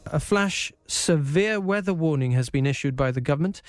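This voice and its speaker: Higher-voiced man hosting. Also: moderate pace, no room sound, clean studio sound, soft background music. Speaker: radio and TV programme host. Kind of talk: live current affairs interviewing.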